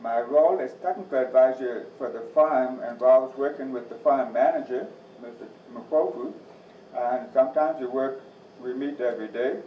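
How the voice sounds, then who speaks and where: A man in his sixties speaks with a softened Midwestern accent, plain and folksy, slipping in wry asides. He sounds a bit off the mic in an echoey room. The recording is dull and muffled. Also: medium pitch, recorded from a distance, a little hiss or hum. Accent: softened Midwestern American. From Northeast Iowa, USA. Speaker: agriculture teacher and farm advisor. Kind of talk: presentation to a church audience.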